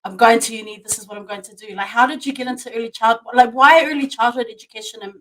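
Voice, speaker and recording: A woman's voice: on the high side, quick pace, a bit bright, low-quality recording. A woman hosting a podcast over a video call, chatting casually and laughing often, calling her guest "sis". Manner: bubbly, laughs often, says "sis" and "fam". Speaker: podcast host. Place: Japan.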